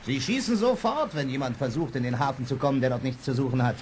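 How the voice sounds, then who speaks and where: A man's voice: mid-range voice, quick pace, lightly acted. An actor playing a boatman guide who tells spooky tales with dramatic suspense in a German children's audio drama. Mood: ominous, suspenseful. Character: male boatman guide, teasing spooky storyteller. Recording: noisy vintage radio play with ambience.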